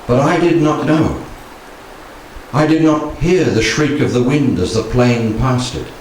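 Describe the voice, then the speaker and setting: A man in his sixties reciting poetry solemnly, with measured, dramatic phrasing and pauses, into a handheld microphone in a room. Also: mid-range voice, darkish tone. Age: 60s. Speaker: poetry reader. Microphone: handheld stage microphone. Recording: very echoey room, distant, faint noise.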